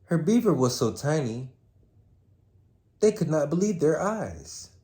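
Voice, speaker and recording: A man hosting a story time, with a laid-back, slangy manner, moving between casual chat and expressive read-aloud. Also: fairly high pitch, unhurried. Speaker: host reading a story aloud.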